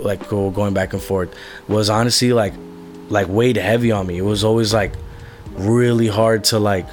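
A man's voice: fairly low pitch, a little mellow. A young man, a musician, talking candidly about his life in a rambling way, with many fillers and casual swearing. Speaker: musician being interviewed. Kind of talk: candid personal conversation.

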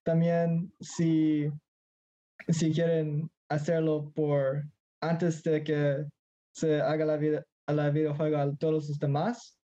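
A man's voice: fairly high pitch, monotone, slow, mellow, lo-fi sound. A teenage boy from Mexico in casual conversation as a guest, hesitant and searching for words, slipping into English now and then. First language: Spanish. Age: teens.

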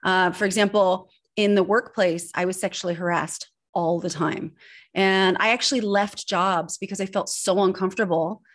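A woman's voice: medium pitch, moderate pace. Earnest woman guest telling her story. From Switzerland, grew up in Northern California. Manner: earnest, confessional, steady storytelling. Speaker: guest, personal branding expert. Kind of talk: candid interview storytelling.

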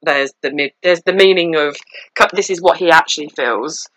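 A woman's voice: deep voice, normal speed, fairly bright tone. A woman co-hosting a podcast, chatting casually and effusively about a TV series. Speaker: podcast co-host.

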